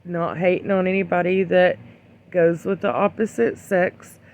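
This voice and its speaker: An opinion vlogger giving casual, rambling commentary in a blunt, admonishing way, with hesitant pauses. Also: medium pitch, steady tone, vocal fry, darkish tone. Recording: dull lo-fi sound.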